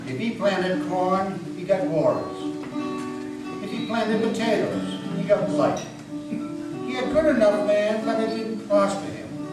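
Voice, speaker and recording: A man's voice: sits high, slow. A man giving a dramatic storytelling reading of a folk tale in a folksy, emphatic manner. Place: meeting house, live reading. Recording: echoey hall from far off, music behind. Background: guitar music.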